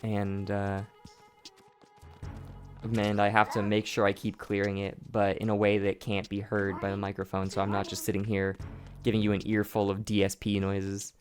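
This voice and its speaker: Hoarse man explaining a speedrun. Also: fairly low pitch, monotone, moderate pace, soft background music. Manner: explanatory, rambling, with frequent restarts and profanity. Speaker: gameplay commentator. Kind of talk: casual speedrun commentary.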